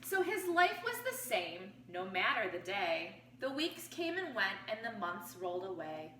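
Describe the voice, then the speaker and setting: A woman reads aloud to children in a bright, very lively voice, like a teacher with a class. She takes her time and pauses to put questions to her listeners. The recording is roomy and a little distant from the mic. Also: medium pitch, a little hiss or hum. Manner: animated storytelling, pausing to pose questions to young listeners. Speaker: teacher reading a picture book aloud to pupils.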